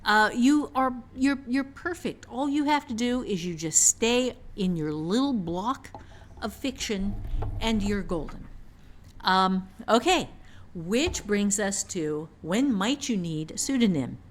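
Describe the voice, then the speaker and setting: A woman's voice: mid-range voice, expressive, takes it slowly. Emphatic woman explaining things casually. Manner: emphatic, repeats phrases for stress. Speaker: author and writing teacher. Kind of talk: casual explanatory podcast talk.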